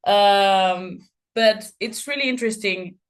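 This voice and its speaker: A woman's voice: medium pitch, slow, clean tone. A woman from Argentina, a native Spanish speaker with an Argentine accent, talking earnestly and emphatically as a podcast guest. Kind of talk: casual conversation.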